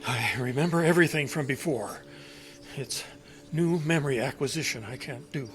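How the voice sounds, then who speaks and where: A man's voice: mid-range voice, normal speed, a bit bright, natural voice. An actor playing a forgetful, stubborn elderly father in an audio drama, with a folksy, stammering, muttering manner. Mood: defensive.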